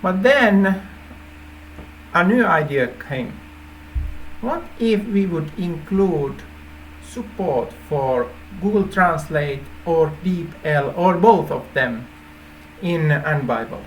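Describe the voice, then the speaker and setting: Male presenter, native Finnish speaker with Finnish accent; unhurried, hesitant explaining, light noise behind. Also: fairly high pitch, animated, poor audio. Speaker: app developer presenting a feature idea. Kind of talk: informal explainer to camera.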